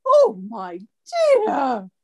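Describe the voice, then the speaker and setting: Catty, snooty lady, radio farce. High voice, very slow, affected delivery. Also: wildly swooping pitch, dark tone, full character voice. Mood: disdainful. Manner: affected, drawling, catty, French flourishes. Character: snobbish society lady appraising decor with disdain. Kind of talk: comedic radio play acting.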